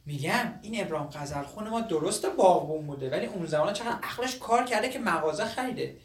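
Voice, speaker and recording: A young caregiver in a drama, playful and patient, with an animated, teasing manner. He is recorded at a distance in an echoey room with faint noise. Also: sits high. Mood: calm, earnest. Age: young adult. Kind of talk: naturalistic dramatic acting.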